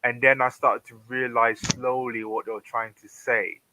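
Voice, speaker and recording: A man's voice: medium pitch, little pitch movement, normal speed, sharp tone, a little hiss or hum, poor audio. A British man on a voice call, telling a story hesitantly, with many ums and restarts. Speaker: guest on a Discord hangout. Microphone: computer mic on a voice call, no webcam.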